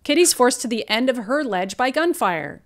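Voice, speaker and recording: Young woman, podcast host at a table read, higher voice, very animated delivery. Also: moderate pace. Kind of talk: casual script read-through with asides. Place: home room on a group video call.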